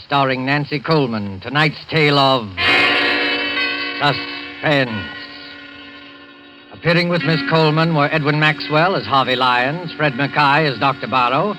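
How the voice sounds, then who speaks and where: A man narrating and announcing credits in a formal, measured, portentous 1940s radio style. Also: medium pitch, low-quality recording. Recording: vintage radio, loud music behind. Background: loud music.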